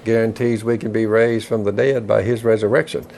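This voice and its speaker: A man's voice: lowish voice, flat delivery, brisk, vocal fry, a little mellow. A man preaching in a folksy, conversational way. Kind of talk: folksy evangelizing talk. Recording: noisy played video clip.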